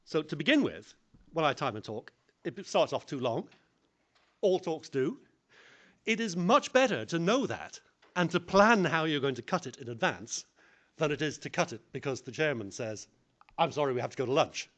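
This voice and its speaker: A man's voice: on the high side, big pitch swings, normal speed, fairly bright tone. A man giving a conference talk on public speaking, self-deprecating and plainly phrased. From English-speaking country.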